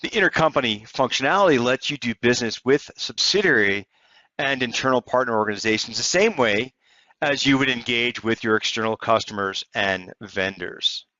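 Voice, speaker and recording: A man narrating a software tutorial step by step in an explanatory, conversational way. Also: mid-range voice, moderate pace, dry recording, highs cut off. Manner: explanatory, conversational walk-through. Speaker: software demonstrator.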